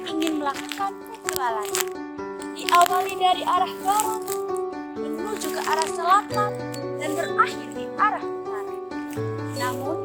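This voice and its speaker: A teenage young woman from Indonesia narrates a scripted folktale with dramatic, declamatory phrasing. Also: very high voice. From Bima, West Nusa Tenggara, Indonesia. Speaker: student storyteller. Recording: loud music over the voice. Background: loud music.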